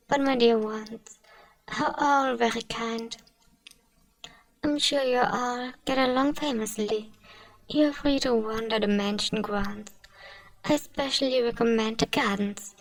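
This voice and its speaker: Woman acting a slow, soothing, genteel vampire mistress. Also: a little high, vocal fry, dry recording, distant mic, poor audio. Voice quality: soft, often slipping into whispers. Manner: soothing, coaxing, genteel endearments. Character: kind, genteel, doting female vampire mistress. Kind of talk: ASMR roleplay.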